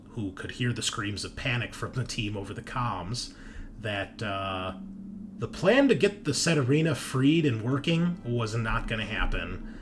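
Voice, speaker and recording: A man's voice: on the low side, a bit bright. Man narrating a tabletop game over background noise. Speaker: game master. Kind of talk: recapping a tabletop game session.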